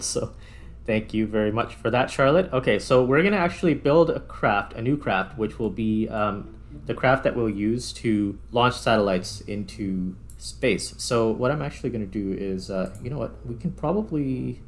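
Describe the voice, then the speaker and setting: A man's voice: mid-range voice, slight background noise. A man giving casual gameplay commentary as a host, thinking aloud as he works. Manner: thinks aloud while working. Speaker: gaming video host. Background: background music.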